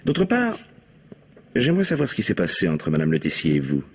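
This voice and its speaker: Deep, husky police commissioner. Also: male voice, wildly swooping pitch, a little fast, full character voice. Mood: probing. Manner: polite, dry, questioning. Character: patient, probing male police commissioner. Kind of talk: French mystery radio play acting.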